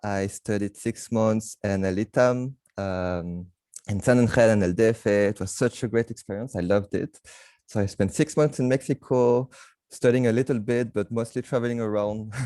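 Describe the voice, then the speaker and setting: A man from France co-hosting a video call, friendly and relaxed, often laughing. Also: on the low side, dry recording. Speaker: program co-host. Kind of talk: casual video call chat.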